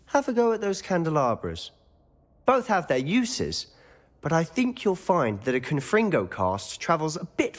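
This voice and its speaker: An actor playing a confident, secretive teenage wizard schoolboy in a video game, speaking in a conspiratorial, self-assured way. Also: male voice, medium pitch, lightly acted. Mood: keen, instructive. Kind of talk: scripted game dialogue.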